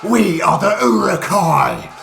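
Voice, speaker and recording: A man voicing a band of brutish male orc warriors who shout and mock. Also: high-pitched, big pitch swings, slow, gravelly, reverberant, faint music behind, full character voice. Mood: taunting. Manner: loud mocking shouts.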